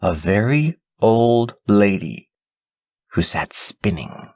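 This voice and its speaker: Expressive male storybook narrator, very animated. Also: lowish voice, slow, no room sound, studio quality. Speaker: audiobook narrator.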